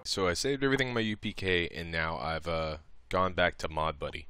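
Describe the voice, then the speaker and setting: A man presenting a casual, step-by-step software tutorial in an informal, explanatory way. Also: low voice, fairly quick, very noisy.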